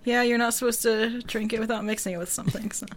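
A woman's voice: fairly high pitch, steady tone, fast talker. Wry, understated woman chatting casually. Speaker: podcast co-host. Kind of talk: casual chat.

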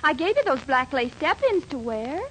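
A woman's voice: high voice, sing-song, moderate pace, a bit bright. An actress playing a bossy, wheedling, theatrical young girlfriend in a 1940s radio comedy, coaxing and commanding by turns. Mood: fussing. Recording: noisy old 1940s radio recording, studio audience.

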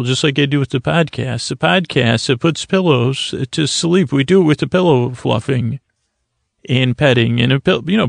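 A man hosting a bedtime podcast, rambling in a halting, stammering, whimsical way that trails off mid-phrase. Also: mid-range voice, vocal fry, no echo, studio quality. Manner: whimsical, mock-earnest. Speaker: podcast host. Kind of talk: rambling, improvised bedtime monologue to help listeners sleep.

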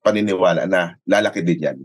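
A man's voice: low voice, quick pace, slightly rough. A man with a Filipino accent talking conversationally as a sports commentator being interviewed, often checking for agreement with short tag questions. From Philippines. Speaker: sports announcer and radio commentator, guest. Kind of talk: conversational interview talk in mixed English and Tagalog.

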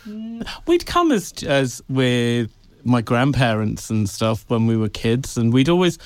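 Smooth-voiced man telling stories. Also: medium pitch, sing-song, a little slow, no echo. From Wales. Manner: chatty, laughs often, storytelling. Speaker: guest, PR agency director. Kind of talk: casual interview chat. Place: office.

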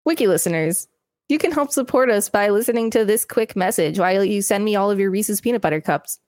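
A woman's voice: mid-range voice, animated, a little fast, darkish tone, dry recording. A woman podcast co-host reading an article aloud, with casual asides.

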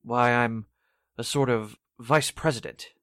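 A male actor performs a defensive heir in a 1940s radio drama. His middle-pitched voice is earnest and hesitant. The sound is dry and close. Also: steady tone, unhurried, fairly bright tone, no room sound, lightly acted. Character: defensive male heir questioned about a murder.

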